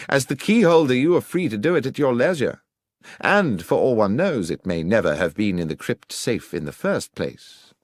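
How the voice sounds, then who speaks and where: Formal male detective, done by an audiobook guy, precise, sure of himself, really lively pitch swings, dry close sound. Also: dry recording. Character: confident, incisive male detective laying out a deduction. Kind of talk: audiobook character acting.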